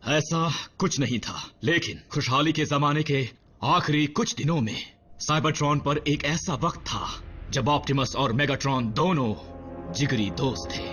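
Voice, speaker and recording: A man playing a grave veteran robot soldier in a dubbed cartoon, telling war history in a measured, solemn way. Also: fairly high pitch, close to an everyday voice. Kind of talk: dubbed animated series dialogue and narration. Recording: muffled sound with loud dramatic music.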